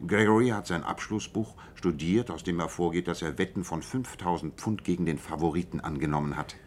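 An actor playing a shrewd, self-assured male detective in a radio play, explaining in a measured and precise way. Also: low voice, a little hiss or hum, close to an everyday voice. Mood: analytical, assured. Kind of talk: German radio play dialogue.

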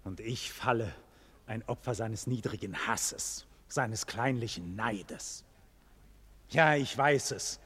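A man's voice: lowish voice, takes it slowly, fairly bright tone, husky, full character voice. An actor playing a proud, defiant condemned nobleman, indignant and bitter, declaiming in formal theatrical style in a classic German radio play. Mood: bitter, wounded pride. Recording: long reverberant hall, radio drama production.

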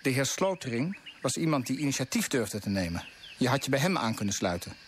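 A man's voice: medium pitch, sing-song, a little fast, slight background noise, close to an everyday voice. A man acting a righteous, idealistic colonial official in a radio drama, speaking in an earnest, insistent, declamatory way. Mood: reproachful, indignant. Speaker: actor in a radio play.

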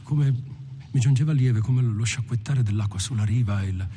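An actor playing a reflective male lifer who recounts his past in a halting, literary, introspective monologue. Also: mid-range voice, warm tone, slight background noise, close to an everyday voice. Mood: melancholy, rapt. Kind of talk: film monologue told like a personal testimony.

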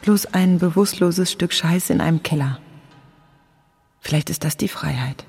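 Radio drama: drained, passive woman journalist, detached inner monologue, noticeable vocal fry. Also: lowish voice, a little slow, darkish tone, heavily acted. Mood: numb, resigned. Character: exhausted, numb journalist woman, withdrawn and passive.

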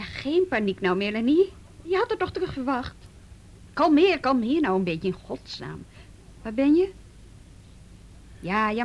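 An actress playing a businesslike female team leader in a naturalistic radio drama, brisk and conversational. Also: high-pitched, highs cut off, full character voice. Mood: firm, calming.

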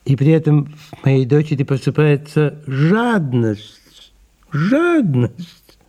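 A man's voice: fairly high pitch, very animated, slow pace, mellow, exaggerated voice. A man acting a haughty middle-aged French mother in an audio drama, scolding and full of melodramatic, self-pitying indignation. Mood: aggrieved, cutting.

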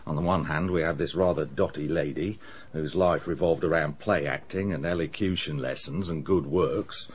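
Low, husky English police inspector. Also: male voice, moderate pace. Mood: puzzled, speculative. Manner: reasoning aloud, matter-of-fact. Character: pondering, plain-spoken middle-aged English police inspector. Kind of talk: radio drama dialogue.

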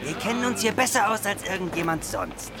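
High-voiced rebellious pirate crewman. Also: very animated, brisk, music in the background. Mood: scheming. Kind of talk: radio play acting.

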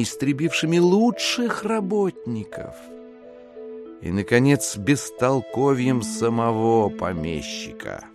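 An actor playing a self-pitying, theatrical schemer who laments in rhetorical flourishes in an audiobook drama. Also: male voice, medium pitch. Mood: aggrieved, explaining. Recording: studio drama with clear music behind.

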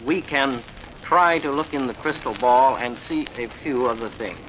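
A man giving a formal, deliberate explanatory talk on an archival recording. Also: mid-range voice, a bit bright, a little hiss or hum, low-quality recording.

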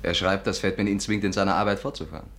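A confident sidekick husband, deep voice, talks fast, no fuss, old german radio drama. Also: male voice, steady tone, fairly bright tone, slight background noise. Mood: urgent, matter-of-fact. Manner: brisk, matter-of-fact. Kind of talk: 1950s German radio play acting.

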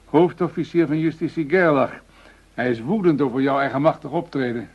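An actor playing a matter-of-fact, cautioning police official in a radio drama, measured and serious. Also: male voice, a little high, breathy edge. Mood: sober, warning. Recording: dull lo-fi radio drama recording.

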